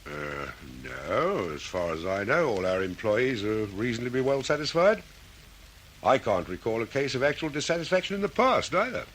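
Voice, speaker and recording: An actor playing a well-mannered, flustered factory manager in an old radio drama, formal and a little hesitant. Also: male voice, medium pitch, big pitch swings, moderate pace, a bit bright, slight background noise. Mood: guarded.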